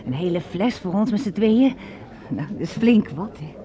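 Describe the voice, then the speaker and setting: A woman acts out a young bride in a radio drama. She sounds coy and wondering, with lively, swinging pitch. A crowd can be heard behind her. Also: moderate pace, highs cut off, close to an everyday voice.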